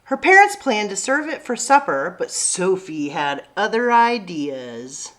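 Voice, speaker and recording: A woman's voice: medium pitch, sing-song, measured pace, fairly bright tone. A woman hosting a children's story time, talking and reading aloud expressively to young listeners.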